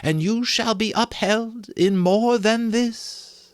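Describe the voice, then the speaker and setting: A man voicing a solemn, gentle Christmas spirit in a soft, airy, drawn-out voice for a storybook. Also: high-pitched, fairly even intonation, clean tone, dry recording, clean studio sound, pitched above the performer's own voice. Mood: gently commanding. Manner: slow, drawn-out, otherworldly delivery.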